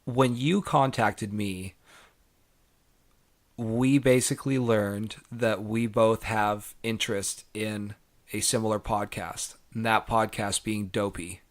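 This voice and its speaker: A man hosting a podcast, speaking earnestly with measured pauses, a little congested from a cold. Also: on the low side, fairly even intonation, dry recording. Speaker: podcast host. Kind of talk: podcast intro and interview.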